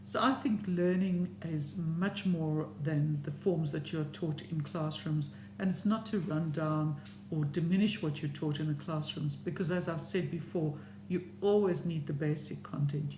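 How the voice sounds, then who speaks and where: Encouraging middle-aged speaker, echoey room. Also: medium pitch. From South Africa. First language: Serbian. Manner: measured, encouraging. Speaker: language learning vlogger. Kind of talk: opinion talk to camera. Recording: echoey room with steady hum.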